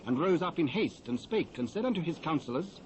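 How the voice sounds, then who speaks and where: A man with a British accent narrating scripture in a measured, solemn, formal and dramatic way. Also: fairly high pitch, lively intonation, poor audio. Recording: faint hiss, old cassette transfer.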